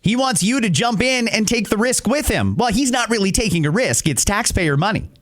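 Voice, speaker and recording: A man's voice: high-pitched, expressive, a little fast. A man hosting a talk show, reading listener messages and answering them in an opinionated, conversational way. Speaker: radio talk show host.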